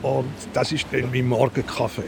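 A man answering casually and a little haltingly in a conversation, as an interview guest. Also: mid-range voice, level tone, gravelly. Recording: loud outdoor lakeside noise, lapping water.

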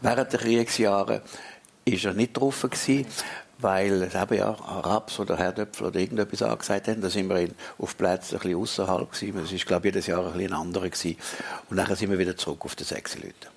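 Swiss German man, very low gravelly husky voice, plain halting talk; live stage guest. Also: fairly even intonation. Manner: plain, matter-of-fact, a bit halting. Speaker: circus director. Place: theatre stage before an audience.

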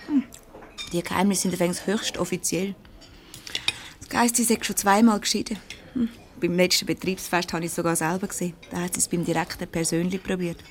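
An actress with a Swiss German accent playing a gossipy, jealous young secretary in a light radio comedy, chatty and pointed. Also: female voice, on the low side, steady tone, fairly quick, natural voice. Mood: gossipy, indignant. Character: gossipy, sharp-tongued, jealous young female office secretary. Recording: noisy 1970s radio play recording.

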